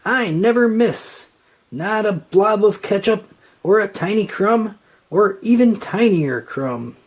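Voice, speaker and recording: A storyteller voicing a cheerful, eager young sponge fry cook in a children's storybook, exclaiming excitably. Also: mid-range voice, slow pace, voice pushed up. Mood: boastful, excited. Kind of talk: children's storybook read-aloud.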